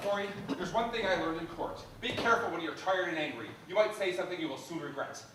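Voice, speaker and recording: A woman's voice: fairly low pitch, quick pace, very echoey, distant mic, background noise, close to an everyday voice. A woman acting an exasperated young lawyer husband on stage, with dry sarcasm that turns to pleading. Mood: wry.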